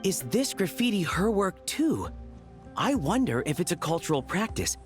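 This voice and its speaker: Bookish game scholar, slightly high male voice, expressive intonation, earnest, curious, hesitant. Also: moderate pace, soft background music. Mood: earnest, absorbed. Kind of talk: video game character voice acting.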